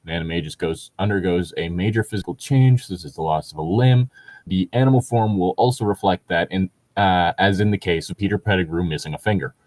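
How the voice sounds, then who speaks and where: A man's voice: low-pitched, fairly quick, a little mellow, no echo. A man reading aloud and commenting casually, in an informal, sweary way as he reacts to the text. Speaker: co-host of a fan fiction reading show.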